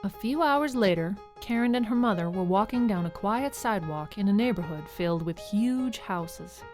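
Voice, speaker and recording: A woman tells a scripted story for young listeners. Her mid-range voice is measured, with dramatic pauses, and music plays behind her. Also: mid-range voice, lively intonation, darkish tone. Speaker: narrator.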